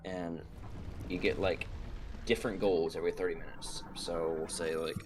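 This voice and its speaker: Low, scratchy-voiced man rambling over music. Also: little pitch movement, moderate pace. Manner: rambling, swearing, frequent fillers. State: slightly scratchy voice. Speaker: guest on a gaming stream call. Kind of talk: casual chat. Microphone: desk mic on a broken stand, over a call.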